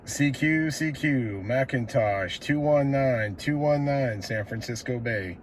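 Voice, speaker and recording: Casting: American male CB operator, mid-pitched, laid-back slangy radio chat, with clear static noise behind him. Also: takes it slowly. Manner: laid-back slangy, says "man" often.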